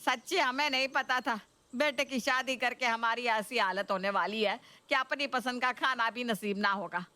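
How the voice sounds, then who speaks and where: A woman's voice: a little high, normal speed, sharp tone, no echo. A woman voicing a nagging, demanding older mother-in-law in a Hindi cartoon story, complaining and taunting. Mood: sulky, taunting.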